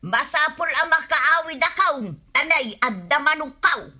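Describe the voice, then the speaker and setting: A woman's voice: high voice, animated, measured pace, sharp tone, heavily acted. A woman playing a frank, skeptical villager in a comic Ilocano radio drama. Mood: prodding.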